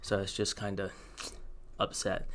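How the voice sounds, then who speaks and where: A man's voice: deep voice, completely flat delivery, unhurried, a bit bright. A young man chatting casually through a sneaker review, rambling with asides and filler words. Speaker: sneaker vlogger. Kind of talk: casual product review to camera.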